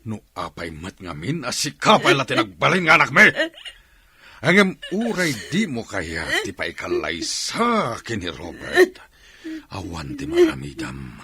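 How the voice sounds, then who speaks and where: Domineering father, wildly swinging forceful voice. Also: mid-range voice, wildly swooping pitch, measured pace, heavily acted. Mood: furious. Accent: Filipino. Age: middle-aged. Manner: scolding, forceful. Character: domineering, hot-tempered father forcing his daughter to marry. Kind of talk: radio drama acting.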